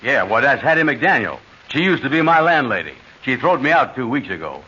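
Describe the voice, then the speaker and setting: An actor playing a slow, easygoing bachelor short on cash, drawling in a deep voice, on a vintage radio comedy. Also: male voice, big pitch swings, sharp tone, breathy edge, heavily acted. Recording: muffled old radio broadcast, faint hiss.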